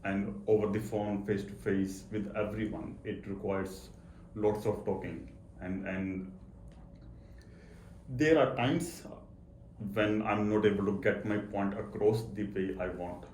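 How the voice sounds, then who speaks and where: A man with a low voice and a stutter answers interview questions. He repeats words and halts as he explains himself earnestly. The room is echoey, with faint noise behind him. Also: steady tone, slow pace, a little mellow. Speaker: interview guest, accountant. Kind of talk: personal interview talk.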